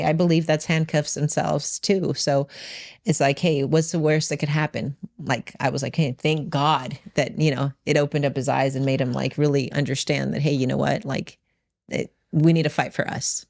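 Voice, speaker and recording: A woman's voice: deep voice, a little mellow, no room sound, clean studio sound. A woman telling a personal story in conversation, emphatic and often quoting what was said in direct speech. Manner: emphatic, re-enacts dialogue in direct quotes. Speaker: podcast guest and business owner.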